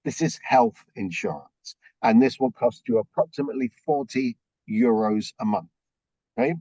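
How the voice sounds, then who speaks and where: A man with a slightly croaky voice briefing a group on a video call, explaining things step by step and checking in with frequent okays. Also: mid-range voice, normal speed, dark tone, no echo, highs cut off. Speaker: orientation presenter.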